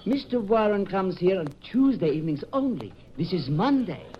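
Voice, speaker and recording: High-pitched, fussy restaurant employee. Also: male voice, sing-song, normal speed, a little hiss or hum. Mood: prim, superior. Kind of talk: 1950s radio drama acting. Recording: muffled old radio broadcast.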